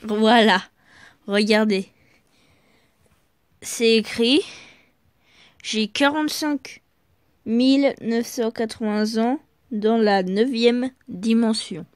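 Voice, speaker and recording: Vlog host, female, fairly high voice, casual and impatient, repeats herself. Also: slow pace, long pauses, clean tone. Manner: impatient, repeats the same question. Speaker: channel host.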